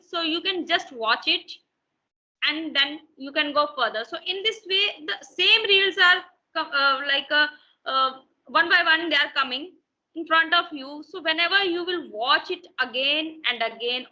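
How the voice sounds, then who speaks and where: An Indian-accented woman speaks slowly and hesitantly, filling pauses with "uh" and "like". Her voice is high and very bright. The sound is muffled, like an online call. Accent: Indian English. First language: Hindi. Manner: hesitant, many fillers and restarts. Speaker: interview guest, English learner. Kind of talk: casual conversational English practice.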